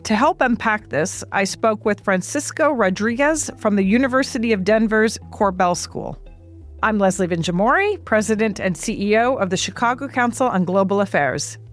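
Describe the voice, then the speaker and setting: A woman's voice: mid-range voice, lively intonation, moderate pace, soft background music. Poised woman podcast host. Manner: clear, poised, probing. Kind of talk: scripted intro then interview questions.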